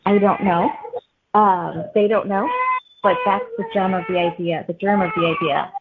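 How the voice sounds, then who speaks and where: A woman author answering interview questions conversationally, pausing often in the middle of phrases. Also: medium pitch, steady tone, very noisy. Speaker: author guest. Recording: muffled phone-like narrow-band audio.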